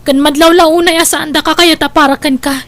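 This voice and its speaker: A woman's voice: sits high, fairly even intonation, brisk, a bit bright. A woman with an Ilocano accent playing a wronged girlfriend in an audio drama, pleading her case in a plaintive, emphatic way. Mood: pained, resigned. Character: wronged, hurt girlfriend defending herself.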